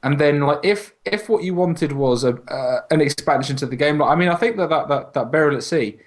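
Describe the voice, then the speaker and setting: A man's voice: a little high, a little fast. A man chatting casually about video games as a podcast panellist, swearing freely and acting out imagined quotes.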